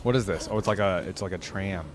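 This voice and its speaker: A man casually commenting as a reaction host and excitable fan, sometimes reading game lore aloud. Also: low voice, quick pace, noisy background.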